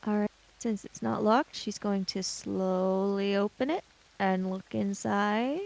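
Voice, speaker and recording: A woman narrating her character's actions in a tabletop roleplaying game, in halting, deliberate phrases. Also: mid-range voice, steady tone, gravelly, darkish tone, low-quality recording.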